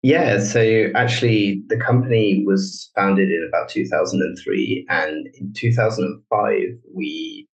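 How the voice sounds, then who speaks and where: A man, the guest, explaining his work in a relaxed, conversational way during an interview. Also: on the low side, measured pace, gravelly, few pauses, roomy sound, poor audio. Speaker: guest, technology director.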